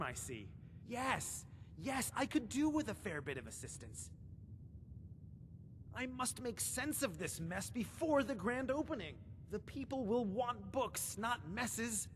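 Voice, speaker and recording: A scatterbrained, book-mad librarian from a video game. The voice is high and swoops about as it rambles in theatrical rhymes, ringing in a reverberant hall with faint noise. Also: female voice. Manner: rambling, sing-song rhymes and wordplay. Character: eccentric, scatterbrained librarian obsessed with books.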